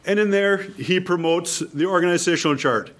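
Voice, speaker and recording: A man's voice: high-pitched, normal speed, fairly bright tone. A man with a Canadian accent giving an informal instructional talk to a group, emphatic and repeating his key points. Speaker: mission leader presenting at a workshop.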